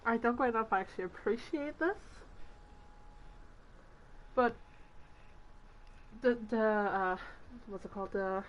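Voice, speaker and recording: A woman giving casual livestream game commentary in an informal, halting, sweary way. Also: fairly high pitch, lo-fi sound. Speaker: game streamer.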